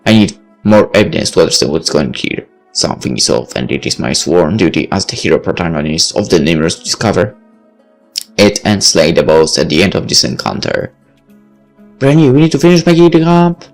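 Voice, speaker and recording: Man playing a pompous, geeky young heroine, lively pitch. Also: fairly quick, faint music behind. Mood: bossy. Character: bossy, self-important geeky young heroine and leader.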